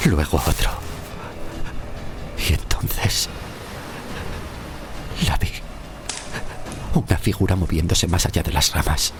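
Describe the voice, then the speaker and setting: An actor from Spain playing a frightened shipwrecked sailor of centuries past, telling his horror tale gravely and haltingly in an audio drama. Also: low-pitched, noisy background. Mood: tense, fearful. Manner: grave, halting, suspenseful delivery. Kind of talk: dramatic first-person horror audio-fiction narration.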